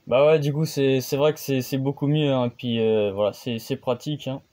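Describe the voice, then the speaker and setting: A man's voice: medium pitch, fairly even intonation, moderate pace, warm tone. A man, a radio hobbyist host, chatting casually on the air and hesitating often with fillers. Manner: hesitant, many fillers and restarts.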